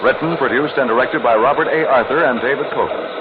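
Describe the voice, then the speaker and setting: A male announcer introduces a radio program in a formal, declamatory old-time style. The broadcast is muffled, with clearly audible noise. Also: mid-range voice, quick pace.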